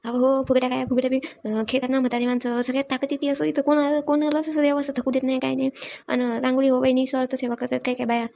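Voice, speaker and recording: Woman acting a very fast, high-voiced village pilgrim. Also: flat delivery, vocal fry, darkish tone. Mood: cautioning. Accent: Varhadi Marathi. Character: chatty, devout village woman pilgrim, bossy and practical. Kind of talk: cartoon comedy dialogue.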